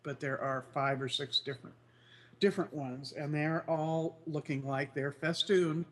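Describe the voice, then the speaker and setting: Congested male co-host, higher voice, nasal and croaky, meandering delivery. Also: level tone, measured pace, a bit bright. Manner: rambling, many fillers and restarts. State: congested, recovering from flu. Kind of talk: casual news chat show.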